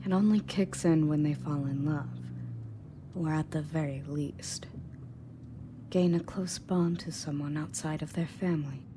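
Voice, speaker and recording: A woman's voice: low voice, a little slow. A woman playing a confident, matter-of-fact rescue agent in an audio roleplay, speaking conversationally to the listener. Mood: matter-of-fact briefing. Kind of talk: scripted audio roleplay, in-character monologue to listener. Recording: clearly audible background noise and ambience.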